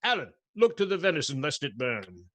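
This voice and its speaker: An actor playing a jovial, bold outlaw leader in a radio play, with a hearty, teasing manner. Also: male voice, a little high, wildly swooping pitch, fairly quick, pushed and bright, exaggerated voice. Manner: hearty, teasing, laughing outbursts. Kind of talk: stage radio play acting.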